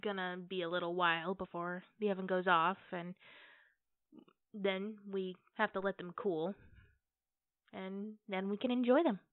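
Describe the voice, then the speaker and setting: A woman playing an affectionate, playful girlfriend in a casual, conversational ASMR roleplay, with hesitant pauses. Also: medium pitch, steady tone, no echo, close to an everyday voice. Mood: cheerful, casual. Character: affectionate, playful girlfriend baking cookies at home. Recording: tinny, phone-like band-limited audio.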